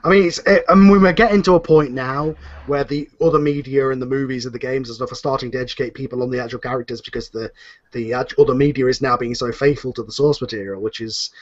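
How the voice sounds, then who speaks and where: A man's voice: mid-range voice, steady tone, quick pace, dry recording, lo-fi sound. A man moderating a panel in a casual, conversational discussion.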